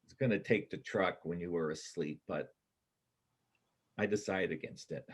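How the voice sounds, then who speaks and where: A man playing a doubtful, wistful bank robber on the run in a reader's theater play, speaking in a hesitant, halting way. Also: low-pitched. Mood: regretful. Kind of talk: reader's theater stage acting.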